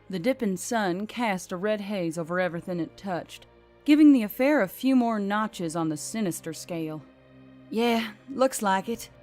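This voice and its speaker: A woman's voice: mid-range voice, darkish tone. A woman reading scripted fiction as an audiobook narrator. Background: background music.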